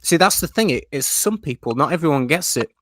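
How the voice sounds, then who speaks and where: A man's voice: a little high, animated, fairly quick, a bit bright. A man from Yorkshire with a Yorkshire accent, hosting a casual live-stream chat and answering viewer comments. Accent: Yorkshire English. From Yorkshire, England. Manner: chatty, reads out viewer comments and answers them. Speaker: host.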